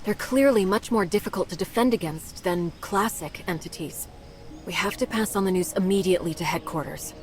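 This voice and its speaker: A woman voicing a composed, commanding team leader in a video game, speaking crisply and with authority. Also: fairly low pitch, fairly quick, close to an everyday voice. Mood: authoritative. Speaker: game character. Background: loud game music.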